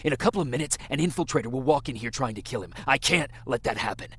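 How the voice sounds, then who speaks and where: A man's voice: mid-range voice, animated, quick pace, slightly rough. Game role: grim, commanding male soldier, mid-range voice, clipped and serious. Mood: grave, insistent. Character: terse, grimly determined male resistance soldier. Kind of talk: dramatic game cutscene acting.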